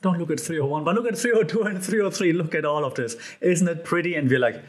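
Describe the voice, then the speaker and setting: A man hosting a solo podcast, talking in a casual, chatty way with lots of fillers and restarts, his voice a bit hoarse. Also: on the high side, fast. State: slightly hoarse. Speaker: podcast host.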